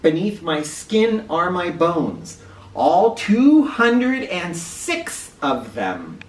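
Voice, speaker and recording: A man hosting an educational read-aloud for children, speaking directly to them with clear emphasis and questions. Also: sits high, huge pitch swings, drawn-out words. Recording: roomy, distant mic with faint noise.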